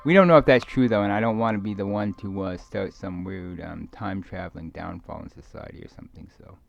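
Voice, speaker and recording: A man giving a rambling, casual monologue to viewers, halting and repeating his words as he trails off. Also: low-pitched, creaky, highs cut off, soft background music. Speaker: video creator speaking to viewers.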